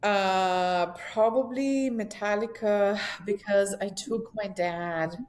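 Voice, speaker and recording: Woman chatting over noticeable rumbly noise. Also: slow, darkish tone. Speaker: podcast guest, communications executive. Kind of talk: casual interview conversation.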